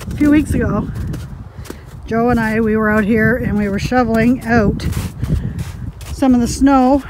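A woman in her forties chatting casually while filming her homestead on a handheld phone, rambling and giggling often. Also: fairly high pitch. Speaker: homestead vlogger. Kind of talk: casual handheld vlog chat. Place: outdoors in a windy snowy yard. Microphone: phone camera held at arm's length. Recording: noisy outdoor phone audio with wind.

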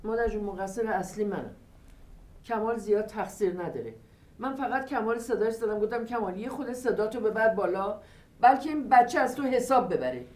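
A woman's voice: medium pitch, lively intonation, darkish tone, roomy sound, close to an everyday voice. An actress playing a wife who takes the blame and then chides her husband. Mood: apologetic. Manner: reproachful, explaining. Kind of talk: TV family drama acting.

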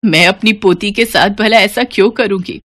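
A woman voicing a weary, meek old mother-in-law in a cartoon story, speaking gently and plaintively. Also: medium pitch, normal speed, lightly acted.

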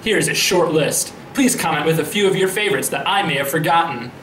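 A man's voice: fairly high pitch, brisk, fairly bright tone, lightly acted. A man performing a dramatic reading as an indignant, folksy, self-righteous conservative politician, with theatrical and emphatic delivery. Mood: indignant, mocking. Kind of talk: dramatic reading of social media posts. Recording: roomy echo, faint background noise.

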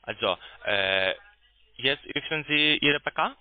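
A foreign-accented man talks in halting phrases, giving a call-centre sales pitch. His voice sits at an ordinary male pitch and sounds thin over a phone line. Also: lively intonation, dry recording. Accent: foreign, non-German. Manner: halting, non-native phrasing. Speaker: scam call-centre agent. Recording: tinny narrow-band phone line.

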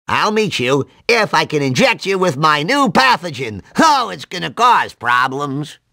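Nerdy cartoon mad scientist, piercing nasal tone, creaky edge, excitable rambling, video game acting. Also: male voice, a little high, unhurried, no echo, studio quality, exaggerated voice. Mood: eager rambling. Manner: rambling scientific jargon with nonsense exclamations.

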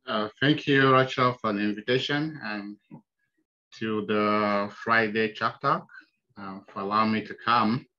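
A man with a Nigerian accent presents to colleagues over a video call, pausing often with soft ums. His voice sounds bright and forward, but the call audio is dull and lo-fi. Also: fairly low pitch, fairly even intonation. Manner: halting, many ums, soft-spoken. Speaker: hospice chaplain. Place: small indoor room.

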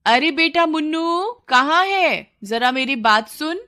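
A woman's voice: high voice, animated, takes it slowly, pushed and bright, voice pushed up, heavily acted. A woman voicing a greedy, complaining village wife in a children's cartoon story, with an insistent, taunting manner. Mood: bossy. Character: nagging, greedy village wife, dissatisfied and scheming.

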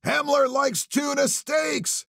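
Man acting a shouting, belligerent old drunk. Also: very high-pitched, slow, gravelly, no room sound, clean studio sound, pitched above the performer's own voice, full character voice. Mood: belligerent, agitated.

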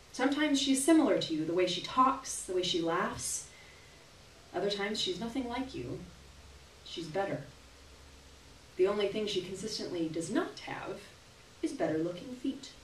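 A young adult woman performing a short story aloud in a live, expressive literary reading with deliberate pauses. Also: medium pitch. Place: small gallery room, live stage reading. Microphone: stand microphone, recorded by distant camera. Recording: roomy, distant camera audio with hiss.

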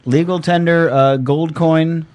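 Man hosting, halting with fillers. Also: fairly high pitch, fairly even intonation, a little mellow. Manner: halting, reads news items with many fillers. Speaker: host. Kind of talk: casual podcast chat. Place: small home studio. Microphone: studio mic on boom arm.

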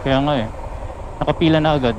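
A high-voiced man talks on a motorcycle intercom in loud road noise. He chats casually, with joking complaints to the rest of his group. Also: quick pace. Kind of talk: casual chat over rider intercom. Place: outdoors, riding a motorcycle on the road. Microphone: helmet intercom microphone.

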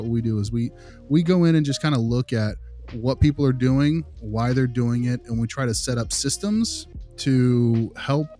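A man with a dark, mellow voice talks as a behavior analyst in a role-played conversation. He explains things politely in hesitant phrases, over faint music. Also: mid-range voice. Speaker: behavior analyst, podcast host. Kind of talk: improvised role-play phone conversation.

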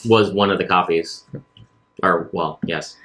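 A man's voice: sits low, fairly even intonation, unhurried, fairly bright tone. A man playing a tabletop role-playing game, talking casually as he improvises.

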